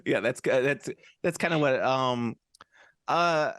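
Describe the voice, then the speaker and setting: A man's voice: medium pitch, slow pace, a bit bright, no echo, clean studio sound. A man co-hosting a podcast in casual chat, joking and rambling with many restarts. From United States. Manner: rambling, jokey, lots of restarts and fillers. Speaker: podcast co-host.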